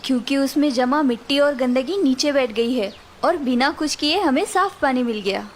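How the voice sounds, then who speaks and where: A woman voicing an older village mother who gives calm, patient advice to her daughter. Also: sits high, normal speed, a little hiss or hum, lightly acted. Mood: gentle advising.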